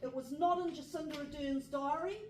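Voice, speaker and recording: A woman questioning politicians from the floor of a public meeting, halting as she reads out dates and details. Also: high voice, fairly even intonation, a little hiss or hum. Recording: echoey hall from far off, crowd behind. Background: audience.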